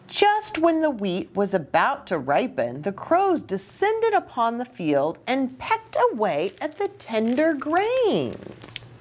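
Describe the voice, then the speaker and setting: A middle-aged woman reading a picture book aloud to young children, animated, with chatty asides and questions for her listeners. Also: fairly high pitch, takes it slowly, hardly any pauses. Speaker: children's ministry storytime host. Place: small indoor reading nook with bookshelf and curtains.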